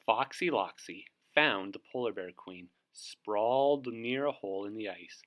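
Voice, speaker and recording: A storyteller narrating a children's book in an expressive read-aloud style. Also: medium pitch, unhurried. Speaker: storybook reader.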